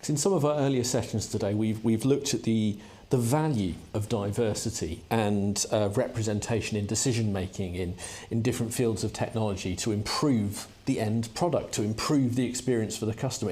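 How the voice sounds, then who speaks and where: A man's voice: on the low side, a little fast. Chatty man hosting a conference chat. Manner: relaxed, chatty, with hesitations. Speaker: host. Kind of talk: conference fireside chat interview.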